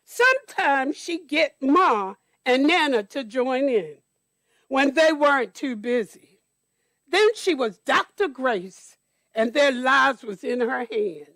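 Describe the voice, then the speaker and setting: A woman storyteller reading aloud to children at church, deliberately, with explanatory asides. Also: sits high, expressive, fairly bright tone, no echo. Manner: deliberate, explains details to young listeners.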